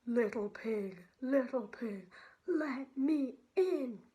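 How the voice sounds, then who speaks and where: A woman voicing a menacing male wolf villain from a children's storybook, with huffing, drawn-out threats. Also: on the high side, animated, mellow. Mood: loud menace.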